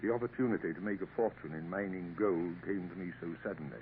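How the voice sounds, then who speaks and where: An actor playing a gentle, weary older father in a 1950s radio drama, soft-spoken and wistful. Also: deep voice, quick pace. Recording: tinny old radio broadcast, faint hiss.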